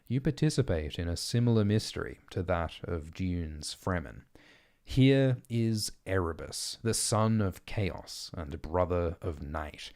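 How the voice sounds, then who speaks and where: A man reading essays and letters aloud as an audiobook narrator, in a measured, deliberate and articulate way. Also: deep voice, a little mellow. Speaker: audiobook reader.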